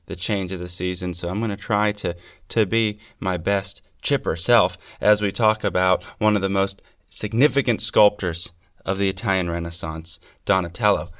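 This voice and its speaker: A man with a fairly low voice hosts a lecture-style podcast, a little gravelly and stuffed up from a cold. He lectures with eager emphasis. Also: steady tone, normal speed, a bit bright. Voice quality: slightly gravelly. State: congested with a cold. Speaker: podcast host. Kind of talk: scripted lecture on art history.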